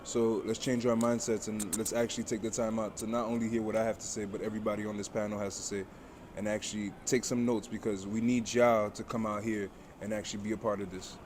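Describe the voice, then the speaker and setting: Emphatic man over clear background noise. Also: fairly low pitch, little pitch movement, quick pace. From Haiti. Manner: emphatic, motivational. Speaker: aviation dispatcher, panelist. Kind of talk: impassioned personal testimony on a panel. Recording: noisy busy venue, vehicle-like ambient noise. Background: loud ambient venue noise.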